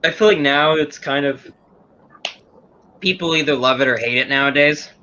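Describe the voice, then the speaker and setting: Excitable guy hosting a podcast, teasing his buddies, bright voice, kinda muffled mic. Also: on the high side, moderate pace. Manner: excitable, teasing, talks over others. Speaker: podcast host. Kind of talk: casual group chat.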